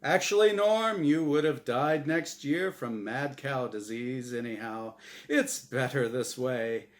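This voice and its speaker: A man voicing a glib, showy angel who hosts a game show, with booming announcer patter, in a story reading. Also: slow, close to an everyday voice. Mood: breezy, salesy.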